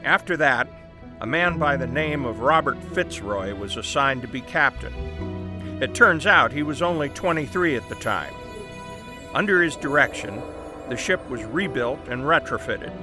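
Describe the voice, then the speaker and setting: A man's voice: mid-range voice, animated, moderate pace, lightly acted. A man acting a pushy newspaper boss over music. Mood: brisk enthusiasm. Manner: confident, explanatory. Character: brisk, pushy, persuasive male newspaper boss. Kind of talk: family audio drama acting.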